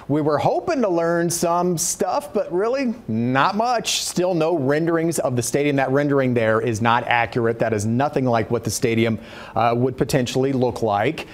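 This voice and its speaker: A man's voice: on the high side, animated, normal speed. Middle-aged man, news anchor, wry dry humor, studio lapel mic. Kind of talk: local news reporting and banter.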